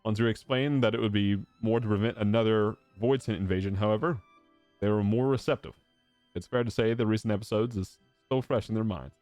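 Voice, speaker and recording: A man voicing an earnest statesman from a fantasy game in a formal, declamatory, measured way. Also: on the low side, darkish tone, no room sound, lightly acted. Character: formal, earnest statesman addressing his war-weary nation. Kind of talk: reading fantasy game dialogue aloud. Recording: game music playing behind the voice.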